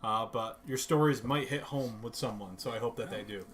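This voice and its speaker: A man's voice: mid-range voice, moderate pace, fairly bright tone. A man hosting a podcast from his basement, chatting casually, joking and laughing often. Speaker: podcast host. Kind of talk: casual chat. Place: home basement.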